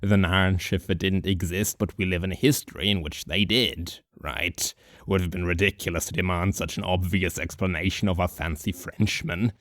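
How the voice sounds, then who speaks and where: A deep-voiced, rambling old drunk of a hobo sage, voiced by a man, holding forth in a slow, musing monologue. He chuckles dryly between his thoughts, and the sound is close and dead-dry. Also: steady tone, fairly bright tone, dry recording.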